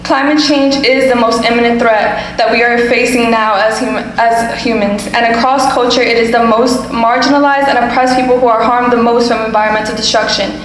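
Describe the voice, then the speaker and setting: Young woman reading a firm statement, a bit high voiced, big echo, faint room noise. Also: steady tone, brisk, few pauses. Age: young adult. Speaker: student speaker at public hearing. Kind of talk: prepared public comment. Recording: echoey hall with faint ambience.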